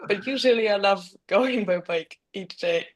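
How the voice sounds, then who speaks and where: A chatty woman laughs as she talks casually on a video call. Her pitch sits in a middle range. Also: unhurried. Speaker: scribe.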